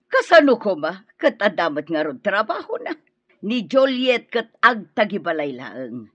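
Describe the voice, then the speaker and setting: A woman's voice: mid-range voice, sing-song, measured pace, fairly bright tone, breathy edge, dry recording, lo-fi sound, full character voice. Bossy, wildly swooping Ilocano mother-in-law. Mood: scornful, bossy. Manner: scolding, demanding. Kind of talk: radio drama acting.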